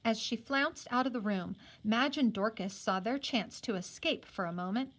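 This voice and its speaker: A woman reading a children's novel aloud as a teacher would, in an expressive storybook style. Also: a little high, normal speed. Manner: acts out the characters' speech.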